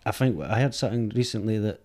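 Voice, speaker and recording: A man's voice: fairly low pitch, expressive, fast talker, a little mellow. A middle-aged man from Scotland with an Edinburgh accent, talking frankly and thoughtfully as an interview guest, often repeating words as he searches. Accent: Edinburgh Scottish. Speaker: guest, film director. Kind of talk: candid reflective conversation. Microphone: dynamic studio mic.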